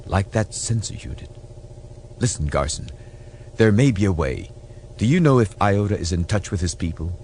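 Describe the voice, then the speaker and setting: An urgent starship captain, as a male narrator plays him, decisive and earnest. The pitch swings up from a low base as he gives clipped orders in a science fiction audiobook, over faint background noise. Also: lively intonation, moderate pace, pauses often, darkish tone. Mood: urgent, hopeful.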